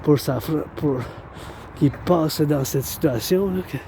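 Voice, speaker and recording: Québécois man rambling outdoors, mellow voice. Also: fairly high pitch, unhurried, gravelly, a little hiss or hum. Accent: Québécois French. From Quebec. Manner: repetitive, circling back to phrases. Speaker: vlogger. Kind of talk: rambling monologue to camera.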